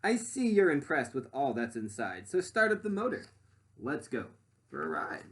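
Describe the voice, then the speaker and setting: A man voicing an eager, boastful boy inventor from a storybook, presenting his dream creation like an excited showman. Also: mid-range voice, moderate pace. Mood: proud, excited.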